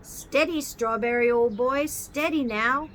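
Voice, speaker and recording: A woman doing a Cockney cab driver, middle-aged man, gruff, kindly, drawn-out words, raised voice. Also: a little high, a bit bright, a little hiss or hum. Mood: soothing. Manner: dropped h's, drawn-out words.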